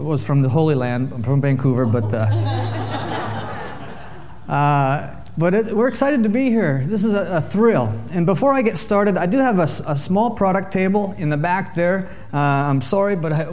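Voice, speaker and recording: A joking preacher man, higher voice. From Canada. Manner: jokey, lively storyteller addressing a congregation. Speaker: visiting preacher. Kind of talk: sermon with humorous storytelling.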